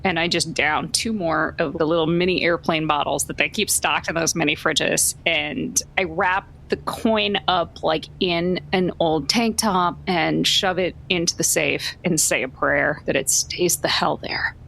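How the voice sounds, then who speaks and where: A woman's voice: low-pitched, unhurried, gravelly, hardly any pauses, a bit bright, slight background noise, poor audio. A woman in casual in-character tabletop role-play as a player, wry and conversational.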